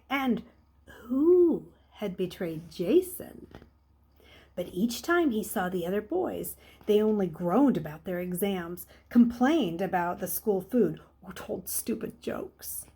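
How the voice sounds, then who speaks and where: Woman, story reader, children's novel read aloud, medium pitch, slightly husky. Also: mellow.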